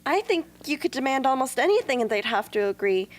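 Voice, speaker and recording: Woman playing high-voiced, gentle little sister. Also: very animated, brisk. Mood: earnest, reassuring. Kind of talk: amateur radio drama acting.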